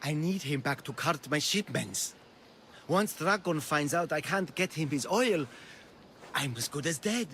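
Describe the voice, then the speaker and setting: A man's voice: fairly high pitch, animated, unhurried, a bit bright, slight background noise. An actor playing an anxious, sentimental male oil merchant in a video game, with a pleading, effusive delivery. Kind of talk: dramatic video game dialogue.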